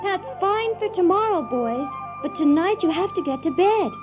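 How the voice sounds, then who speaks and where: A motherly young girl played by a woman in an audio drama, extremely high in voice, reassuring and gently bossy. Prominent music plays behind her. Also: lively intonation, moderate pace. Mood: gently firm. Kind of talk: theatrical audio drama acting.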